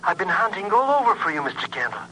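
A humming, noisy telephone effect carries a streetwise male informant. His voice is very sharp and bright, fairly high, with a chatty, slangy eagerness. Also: very animated, quick pace, heavily acted. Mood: impatient, eager. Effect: telephone filter. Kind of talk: 1950s radio drama acting. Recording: noisy, humming vintage radio phone line.

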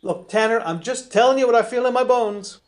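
A man's voice: extremely high pitch, brisk. A man playing a stubborn, plain-spoken small-town fella in a radio play. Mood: easygoing, frank. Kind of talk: radio drama acting.

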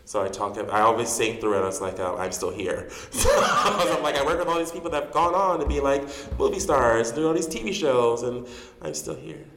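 A man's voice: medium pitch, normal speed, creaky, a bit bright, roomy sound, in a large hall, a little hiss or hum. A man in his forties from Chicago, chatting animatedly as a guest in an interview and laughing often. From Chicago, USA. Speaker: guest, drag performer and actor. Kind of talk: casual interview.

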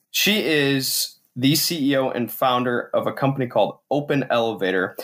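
A man's voice: mid-range voice, fairly bright tone. A man hosting a podcast in a casual, effusive, enthusiastic way. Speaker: podcast host. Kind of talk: podcast interview, casual introduction.

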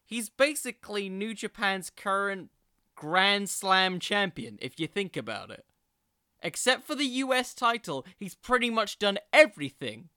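A podcast host with a bright, forward voice of medium pitch delivers casual solo commentary, recorded close and dry. The delivery is informal and self-deprecating, like someone chatting directly to listeners. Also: very animated, measured pace, clear, resonant tone, no room sound.

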